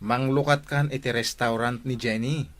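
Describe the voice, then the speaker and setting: A man's voice: mid-range voice, fairly even intonation, moderate pace, close to an everyday voice. A man acting an outspoken, sociable friend in an audio drama, with an Ilocano accent and a teasing, emphatic delivery.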